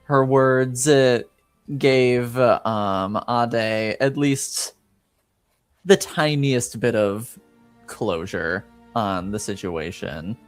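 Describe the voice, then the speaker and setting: A man narrating a casual recap as game master of a tabletop game, haltingly searching for words. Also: medium pitch, clear, resonant tone, no echo, soft background music.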